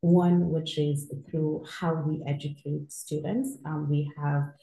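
A woman from Senegal, an interview guest, explaining her university in a measured way with frequent fillers. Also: low voice, level tone, creaky, warm tone. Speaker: university outreach director, guest. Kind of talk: interview answers. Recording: echoey room.

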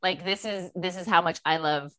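A woman with a Californian American accent chatting casually as a podcast guest, telling personal stories and acting out bits of dialogue in an animated way. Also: lowish voice, moderate pace, clean tone, no echo. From Los Angeles, USA. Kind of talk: casual chat, personal storytelling.

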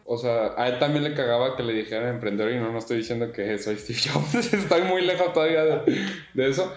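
A man's voice: medium pitch, a little fast, faint music behind. Slangy young Mexican guy, echoey room. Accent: Mexican Spanish. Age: 20s. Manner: laid-back, slangy, laughs often. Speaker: podcast guest. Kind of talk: casual podcast interview.

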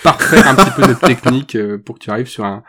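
A man's voice: medium pitch, animated, fast talker, pushed and bright, husky. A man co-hosting a podcast in a casual chat, talking through a stuffy nose from a cold, hesitant with many fillers. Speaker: podcast co-host.

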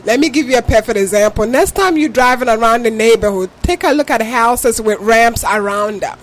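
A woman's voice: on the high side, moderate pace, soft background music. A woman from Ghana with a Ghanaian accent, a guest on a talk show who explains insurance patiently and earnestly with examples. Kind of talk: interview answers.

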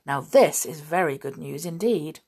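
A woman voicing a big, excitable male police inspector in a children's story, exclaiming in an emphatic, folksy way. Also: sits low, a little slow, husky, heavily acted. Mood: satisfied.

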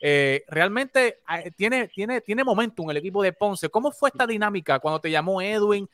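High-voiced Puerto Rican sports host. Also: male voice, lively intonation, normal speed, a bit bright. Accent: Puerto Rican Spanish. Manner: enthusiastic, stumbling restarts. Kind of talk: casual sports talk.

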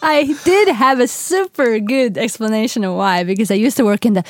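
A woman's voice: on the high side, moderate pace, dry recording. Young woman cohosting a podcast, chatty, laughs a lot, dry close mic. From Latvia. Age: young adult. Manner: chatty, laughs often, restarts sentences. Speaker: podcast co-host. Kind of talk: casual podcast chat.

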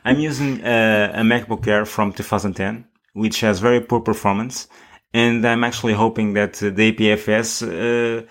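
A man's voice: lowish voice, fairly even intonation, fairly bright tone. Guy cohosting a tech show, trips over words and restarts, laid-back chat. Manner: halting, restarts words, searches for phrasing. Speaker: podcast co-host. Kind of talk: casual tech podcast chat.

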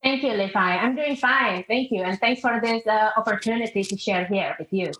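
A woman's voice: medium pitch, moderate pace, fairly bright tone. A middle-aged woman with an Indonesian accent, talking reflectively about herself as a guest in an interview. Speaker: podcast guest, international teacher. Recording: muffled, roomy call audio.

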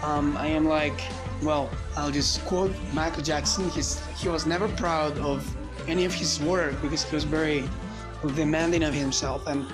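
A man's voice: fairly high pitch, steady tone, normal speed, over music. Rambling motivational man, Spanish native. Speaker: podcaster. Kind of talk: casual solo monologue.

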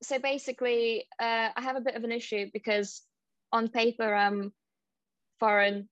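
Woman from eastern europe, tentative and apologetic, even pitch, dry muffled video call. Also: moderate pace, dry recording. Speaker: actor asking a question. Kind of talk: workshop question. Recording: dull lo-fi video call audio.

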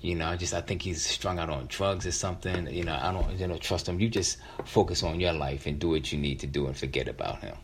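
A man in his fifties with an American accent and a low, deep voice recounts a personal story. His delivery is conversational and reflective, often halting or repeating a word as he goes. Noticeable background noise sits behind him. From West Philadelphia, United States. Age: 50s. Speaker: featured storyteller.

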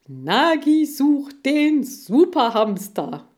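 A woman voicing a pompous, boastful male television salesman in a children's story, with a drawn-out showman's delivery. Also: medium pitch, sing-song, darkish tone. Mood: pompous, promotional.

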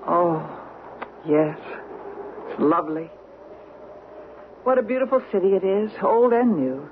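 An actress playing a curious, affectionate wife on vacation in a radio drama, speaking expressively and inquisitively. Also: female voice, lowish voice, expressive, a little slow. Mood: curious, fond. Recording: tinny, noisy old radio recording.

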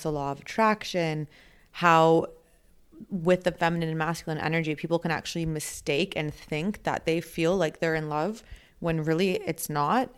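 Young woman, podcast host, low pitch, smooth voice, bubbly. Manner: bubbly, gushing, laughs often. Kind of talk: casual podcast interview.

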